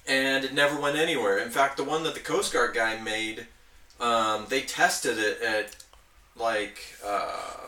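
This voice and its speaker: A man's voice: mid-range voice, measured pace, pushed and bright. A man chatting casually as a podcast host, explaining his hobby with nerdy enthusiasm. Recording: roomy, echoey, distant from mic.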